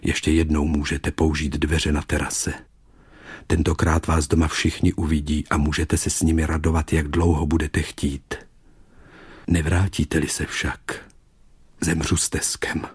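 Muž hraje umírající, něžnou a smutnou obludu s ptačí hlavou, slavnostně a slábnoucím hlasem v pohádce. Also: male voice, extremely low pitch, monotone, darkish tone, slightly rough, no echo, voice pushed down, exaggerated voice. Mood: sorrowful, fading. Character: dying monster with a bird's head, gentle and sorrowful.

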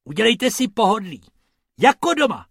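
A man's voice: big pitch swings, gravelly, slightly rough, no room sound, clean studio sound, full character voice. Man doing a jolly storybook rabbit. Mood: cheerful. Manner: cheerful, high and loud.

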